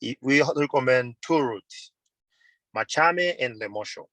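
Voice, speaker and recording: A man in his thirties from Tanzania talks through a video call. He speaks earnestly in plain, simple phrasing, restarting and repeating himself. His mid-range voice sits in a dry, quiet room. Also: medium pitch, expressive, measured pace, no echo. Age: 30s. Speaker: mountain guide and tour company owner. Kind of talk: webinar interview answers.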